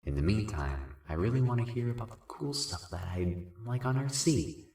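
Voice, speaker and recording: A man playing a laid-back, supportive winged sprite in an amateur audio drama, with a casual, slangy, drawn-out way of talking. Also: on the low side, lively intonation, darkish tone. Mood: reassuring. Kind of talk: amateur fan-fiction audio drama acting. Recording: roomy, echoing voice track.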